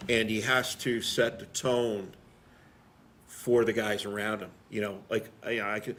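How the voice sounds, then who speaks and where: A man's voice: fairly low pitch, little pitch movement, measured pace, fairly bright tone. A middle-aged man, a firefighter guest, talking casually and emphatically on a podcast and telling firehouse stories in a plainspoken way.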